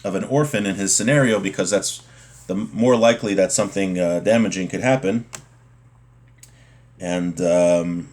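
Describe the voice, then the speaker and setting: A man's voice: low voice, moderate pace, pauses often, darkish tone. A man giving an informal religious lesson, reading from a text and explaining it point by point, often rephrasing as he goes. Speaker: religious teacher.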